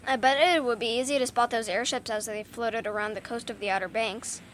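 A woman's voice: on the high side, steady tone, a little fast, fairly bright tone, a little hiss or hum. A woman co-hosting a podcast, asking questions in an upbeat, scripted way. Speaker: podcast co-host.

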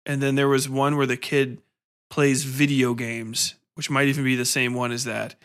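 A man in his thirties with a bright, clear-edged voice at an average pitch. He talks like a casual podcast host, informal and full of personal anecdote. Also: level tone, normal speed. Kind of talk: casual conversational interview.

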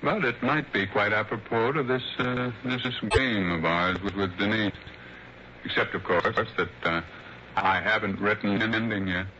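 A muffled, faintly hissy old radio recording of a sly, genteel writer. His low voice has a forward edge, and he talks hesitantly and teasingly, quietly scheming in sixties radio drama style. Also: normal speed. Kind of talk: 1960s radio drama acting. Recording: dull, faintly noisy old radio broadcast.

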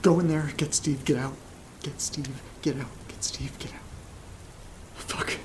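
Man acting a panicked young man over rumbling noise. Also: fairly even intonation, a little slow, lightly acted. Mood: muttering, desperate. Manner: muttering to himself, panicked, repetitive. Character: frightened young man trapped alone in a dark basement. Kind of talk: horror audio drama monologue. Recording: rumbling noisy ambience.

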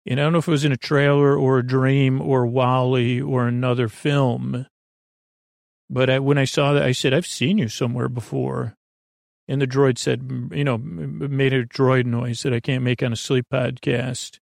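A man hosting a sleep podcast in a meandering, halting solo monologue, often trailing off mid-thought, with a gravelly, creaky voice. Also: mid-range voice, flat delivery, darkish tone, no echo, studio quality. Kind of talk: rambling, digressive solo monologue.

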